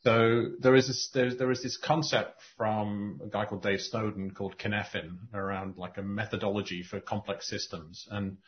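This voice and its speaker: A man hosting a podcast conversation, talking in animated spurts and often restarting his sentences. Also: lowish voice, normal speed, hardly any pauses. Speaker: podcast host and coach. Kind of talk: casual conversation. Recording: muffled lo-fi audio.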